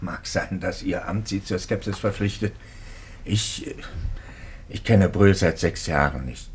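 A man's voice: sits low, unhurried, a little hiss or hum. An actor playing an earnest, loyal clergyman in a German radio play, speaking formally and with emphasis. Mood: subdued, pained. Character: earnest, loyal clergyman defending his fellow priest.

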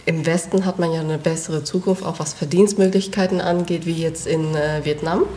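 A woman's voice: low-pitched, steady tone, normal speed, dark tone. A young woman born and raised in Germany, a restaurant owner and cook, giving short, plain answers in an interview and laughing often.

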